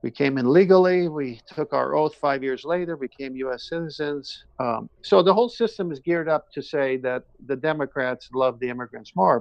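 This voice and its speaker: A man's voice: a little high, gravelly, dry recording, muffled recording. A man talking casually and at length, earnest and emphatic, often repeating his phrases. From born in Iran, moved to the United States at seven. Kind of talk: casual conversation, personal testimony and opinion.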